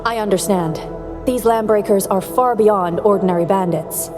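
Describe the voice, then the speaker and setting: A woman's voice: medium pitch, normal speed, long reverb tail, over music, lightly acted. A woman playing a businesslike, authoritative director who speaks formally and matter-of-factly in a video game. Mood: businesslike, concerned. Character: serious, businesslike female director, brisk and authoritative. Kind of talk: video game character acting.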